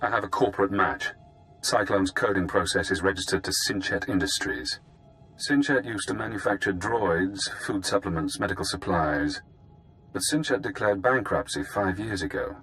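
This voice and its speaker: An actor playing a cold, brilliant intelligence informant in a video game, speaking in deliberate, clipped, menacingly calm phrases. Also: male voice, low voice, soft background music, rough recording. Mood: calculating.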